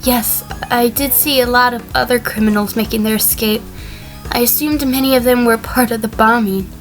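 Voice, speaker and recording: Woman acting high-voiced, defensive sheep defendant. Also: fairly even intonation, moderate pace, faint music behind, lightly acted. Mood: explaining. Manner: guarded, careful explaining. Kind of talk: fan audio drama acting, courtroom testimony.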